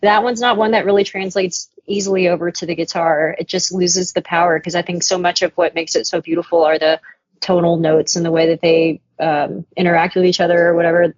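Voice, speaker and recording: A woman's voice: on the low side, flat delivery, brisk, no echo. A woman musician being interviewed, talking frankly and reflectively, swearing casually now and then. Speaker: musician guest. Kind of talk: candid interview talk. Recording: dull, dry podcast audio.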